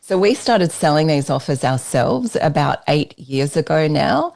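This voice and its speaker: An Australian woman presents a live business workshop, talking through her slides. Her voice sits low and carries a gravelly fry. She delivers it as an emphatic, persuasive sales pitch. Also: very animated, unhurried, darkish tone. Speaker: business strategist leading a workshop.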